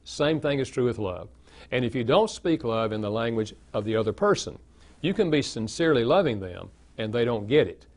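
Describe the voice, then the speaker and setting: A man's voice: mid-range voice, animated, fairly quick, a little mellow. Southern American man explaining steadily. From Southern United States. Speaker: author and guest. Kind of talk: interview conversation.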